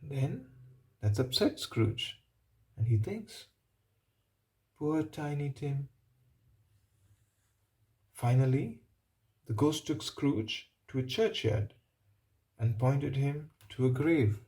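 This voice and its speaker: A man reading a picture book aloud to children, pausing to explain things and put questions to them. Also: medium pitch, animated, darkish tone. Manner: pauses to ask and explain to young listeners. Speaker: storybook reader. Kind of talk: read-aloud narration for children.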